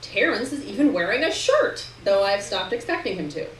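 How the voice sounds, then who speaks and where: A woman narrates literary fiction at a live reading, in a medium-pitched voice. She is picked up from a little way off in an echoey room, with noise behind her. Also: sing-song, moderate pace. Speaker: story reader. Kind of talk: literary narration read aloud to an audience. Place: live reading in a roomy indoor venue. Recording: roomy distant live recording, noticeable hiss.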